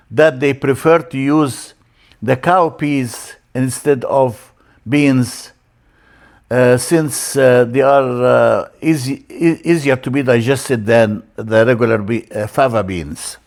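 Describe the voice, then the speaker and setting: An older man from Egypt, a native Arabic speaker with an Egyptian accent, teaching in an informal lecture style with a halting, deliberate delivery. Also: medium pitch. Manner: halting, explanatory, with deliberate word-by-word emphasis. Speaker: church language teacher.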